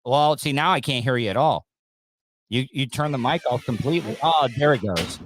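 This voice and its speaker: A man hosting a casual call-in podcast, rambling and joking as he goes. His voice sits at a middle pitch and the sound is dry and close. He is coming down with a sinus infection. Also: lively intonation, normal speed, no echo. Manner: rambling, restarts and fillers, joking. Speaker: podcast host. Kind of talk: casual livestream chat.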